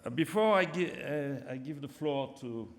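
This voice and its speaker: A man with a non-native English accent co-hosting an awards ceremony, speaking in a measured, halting way with many fillers. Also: medium pitch, expressive. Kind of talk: formal ceremony announcing. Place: large theatre stage. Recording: long hall reverb from a theatre stage.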